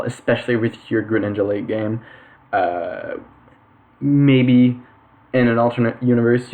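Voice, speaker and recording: A young man giving casual weekly power rankings for a game league, halting with lots of fillers and self-corrections. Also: on the low side. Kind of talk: casual commentary.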